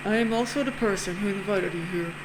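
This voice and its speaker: A woman voicing a cold, eerie male-sounding captain who speaks over a ship's speaker with a harsh put-on foreign accent, slow and formal. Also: mid-range voice, lightly acted. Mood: ominous. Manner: slow, formal, ominous announcements. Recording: noisy recording.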